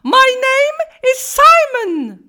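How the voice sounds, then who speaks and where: A woman voicing a gangly, beaming male English teacher who shouts his words with manic glee. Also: sing-song, very slow, slight background noise, heavily acted. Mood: booming gleeful. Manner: booming, shouting, gleeful.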